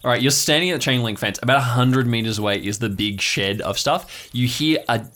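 A man's voice: medium pitch, brisk, a bit bright. A man narrating as game master in an improvised tabletop story, describing scenes in an informal, conversational way.

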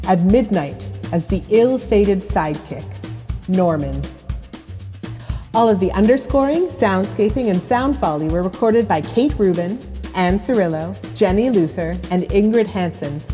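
A woman hosting an audio show, reading a scripted introduction in a measured, deliberate way. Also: fairly low pitch, warm tone. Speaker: host and editor of the audio show. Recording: reverberant, with music underneath.

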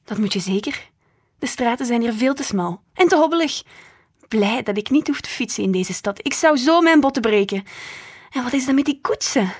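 A woman's voice: animated, normal speed, breathy edge. Woman putting on a fussy grumbling grandma. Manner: grumbling, shrill and indignant. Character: fussy complaining old grandmother. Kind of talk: audiobook reading.

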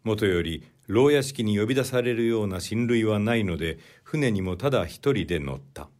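A man reading classic literary fiction as an audiobook narrator, in a measured, deliberate way. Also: lowish voice, expressive, a little mellow.

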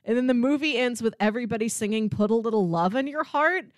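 A woman with a fairly high voice talks casually as a film podcast co-host. She sounds enthusiastic and often chuckles as she speaks. The sound is close and dry, with little room around her. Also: animated, fast, dry recording. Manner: enthusiastic, chuckles while talking.

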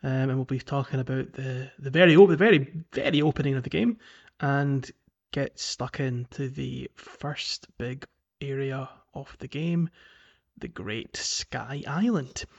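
A man's voice: medium pitch, measured pace, creaky, dull audio. A man hosting a gaming podcast in a casual, chatty and jokey way. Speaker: podcast host.

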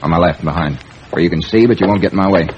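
Deep-voiced, cocky old-radio gangster played by a man. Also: racing, dull audio, close to an everyday voice. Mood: cool, businesslike. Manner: slangy, smooth-talking tough guy. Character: cocky, flirtatious New York hitman posing as salesman. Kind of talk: old-time radio crime drama acting. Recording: noisy old radio recording.

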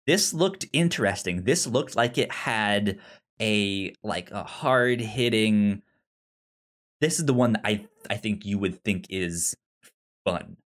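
A man co-hosting a casual review podcast, talking in a halting way with some stammering. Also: fairly low pitch, lively intonation, a bit bright. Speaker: podcast co-host.

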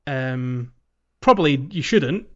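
A man's voice: mid-range voice, expressive, slow pace. A man co-hosting a podcast, chatting casually about video games in a meandering, hesitant way. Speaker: podcast co-host. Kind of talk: casual video game discussion. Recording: slightly dull, lo-fi, music behind. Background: background music.

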